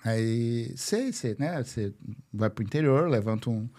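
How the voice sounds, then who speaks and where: A man with a mid-pitched voice hosts a casual conversation. He builds his thoughts haltingly in short pieces, drifting into anecdotes and checking in with little tag questions. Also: animated, mellow. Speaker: interview host.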